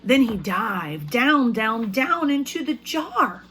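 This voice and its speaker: A woman speaking as an elementary school teacher, reading aloud to children in simple, expressive language and putting questions to them. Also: on the high side, slow, a little hiss or hum.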